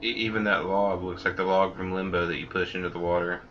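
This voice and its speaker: Low bright-voiced guy cracking wry jokes over a game, far off and kinda muffled with faint hiss. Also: steady tone, fast. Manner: wry, amused asides. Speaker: game streamer. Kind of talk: casual commentary while playing.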